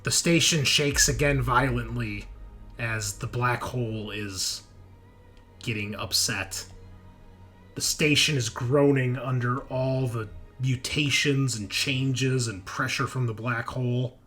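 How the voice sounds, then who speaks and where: A man's voice: mid-range voice, slow pace, bright, forceful tone. A man running a sci-fi horror tabletop game as game master, narrating scenes descriptively and swearing casually. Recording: faint background music.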